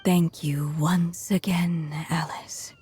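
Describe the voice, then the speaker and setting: A woman playing a gentle, dying witch storyteller in a video game, speaking softly and wistfully with a breathy delivery. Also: on the low side, flat delivery, takes it slowly, faint music behind. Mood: tender farewell. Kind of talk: dramatic video game voice acting.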